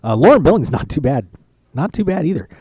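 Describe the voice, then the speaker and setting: A man's voice: a little high, very animated, quick pace, darkish tone, husky, dry recording. Chatty male poker radio host. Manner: chatty, joking, rambling with restarts. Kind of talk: casual live-stream chat. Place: poker tournament lounge.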